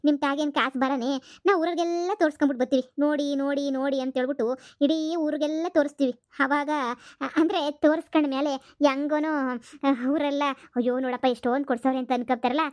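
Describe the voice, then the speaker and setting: A woman playing a brash, quick-tempered village mother who scolds in rapid-fire bursts. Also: high-pitched, no room sound, close to an everyday voice. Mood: coaxing. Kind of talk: comedy sketch acting.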